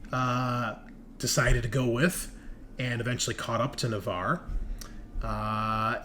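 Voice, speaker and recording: A man's voice: mid-range voice, a bit bright. A man acting as game master, recapping a tabletop horror game in a dramatic, emphatic storytelling manner. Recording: noticeable background noise.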